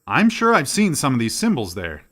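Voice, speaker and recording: An earnest professor in a radio drama, with very lively, swooping intonation. He questions everything with astonishment and concern, in a mid-range male voice. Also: medium pitch, moderate pace. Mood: intrigued. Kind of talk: radio play acting.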